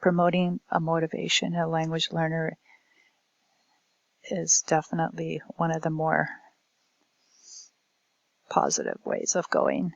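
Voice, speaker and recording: A woman giving a recorded academic presentation as a language instructor, speaking in a halting, hesitant way. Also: deep voice, expressive, vocal fry, no room sound, dull audio.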